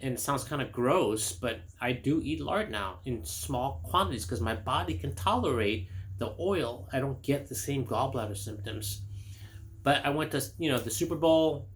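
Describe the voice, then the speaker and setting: A man's voice: medium pitch, animated, moderate pace, a little hiss or hum. Middle-aged chiropractor guy from indonesia, rambly health chat, lots of ums and restarts. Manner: rambling, personal, with many fillers and restarts. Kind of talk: casual health talk to camera.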